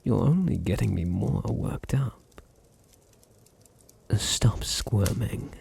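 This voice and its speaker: A British man acting a seductive, domineering vampire master in an ASMR roleplay, breathy and often near a whisper, with purring, condescending endearments. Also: on the low side, expressive, takes it slowly, dark tone. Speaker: audio roleplay voice actor.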